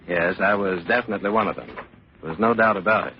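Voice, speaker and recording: A man's voice: low-pitched, quick pace, slight background noise. An actor playing a wry, defensive murder suspect in a 1940s radio suspense drama, telling his own story. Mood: brooding, wry. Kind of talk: 1940s radio suspense acting, hard-boiled narration. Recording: muffled vintage radio broadcast, music behind.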